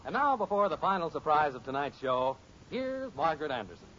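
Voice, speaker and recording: A man's voice: a little high, sing-song, a little fast, heavy background noise. An American man announcing in scripted 1950s radio style. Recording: dull old radio recording.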